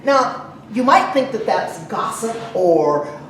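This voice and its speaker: Woman preacher, sermon, conversational, rhetorical questions, deliberate pauses, very reverberant church, distant noisy mic. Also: a little high, big pitch swings. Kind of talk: sermon to a congregation. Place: large echoey church room. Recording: very echoey, distant mic, some noise.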